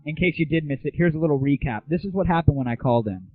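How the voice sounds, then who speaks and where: A man's voice: lively intonation, fast, dry recording. A young American man hosting an audio show, chatting sarcastically and irreverently in a casual comic rant and review style. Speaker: host of an online gaming audio show. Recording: tinny, phone-like low-bandwidth audio, very dry.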